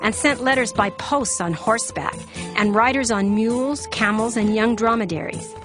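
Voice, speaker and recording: A woman's voice: fairly high pitch, normal speed. Woman narrating scripture over prominent music.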